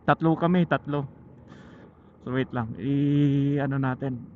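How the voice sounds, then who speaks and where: A man with a Filipino accent chatting casually and haltingly to viewers while riding a motorcycle, speaking through a helmet intercom microphone. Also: mid-range voice, fairly even intonation. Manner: chatty, halting, thinking aloud. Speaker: motorcycle vlogger. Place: outdoors riding a motorcycle on mountain roads. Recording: muffled phone-like helmet intercom, loud vehicle noise.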